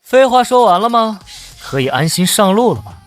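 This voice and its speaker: A man voicing a cocky, defiant young sci-fi hero, scornful and dramatic, in an animated comic. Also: high-pitched, normal speed, soft background music. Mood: scornful defiance.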